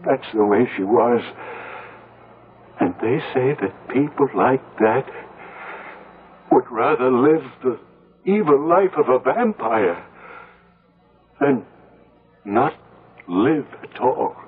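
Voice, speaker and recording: A man's voice: mid-range voice, lively intonation, breathy edge, phone-quality sound. An actor playing a hesitant, fearful, superstitious older father who stammers, in a 1970s radio drama. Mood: fearful conviction. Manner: halting, stammering. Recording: band-limited old radio broadcast.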